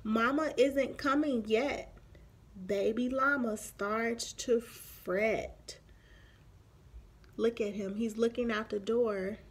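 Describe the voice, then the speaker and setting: A woman in her thirties reading a picture book aloud expressively for young children, pausing for explanatory asides. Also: fairly high pitch, fairly even intonation, breathy edge. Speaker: children's story reader. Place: small room at home, indoors.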